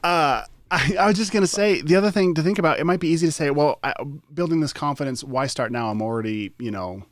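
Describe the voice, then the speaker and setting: A man co-hosting a live motivational stream, cheering his partner on and pushing him with questions in a relaxed chat. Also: a little high, fast talker, no echo, clean studio sound. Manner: encouraging, prods his co-host with questions. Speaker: co-host of live motivational show.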